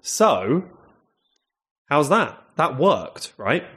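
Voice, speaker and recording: A man with a London accent, co-hosting a casual comedy podcast and rambling through a story, dropping into a lower voice to quote people. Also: big pitch swings, drawn-out words, a bit bright, slightly rough. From south London. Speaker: podcast co-host.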